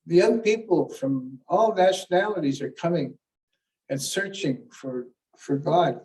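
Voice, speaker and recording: A man's voice: on the high side, lively intonation, measured pace, dark tone. Earnest elder man, far from the mic. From Canada. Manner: earnest, teaching, retells conversations with many restarts. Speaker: elder giving a keynote. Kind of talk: keynote talk with storytelling. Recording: voice picked up from a distance.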